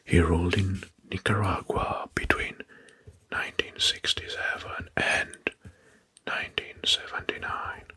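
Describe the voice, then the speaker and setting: A man narrating facts as ASMR in a hushed, breathy whisper, halting and softly repeating words. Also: deep voice, steady tone. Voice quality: breathy, mostly unvoiced whisper.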